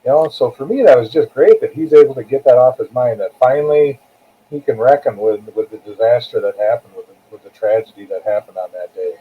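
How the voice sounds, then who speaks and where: A man's voice: medium pitch, fairly quick, breathy edge. Phone-like audio, older American man. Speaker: guest author, retired corrections captain. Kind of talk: conversational interview answers. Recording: tinny, phone-like narrow-band call audio.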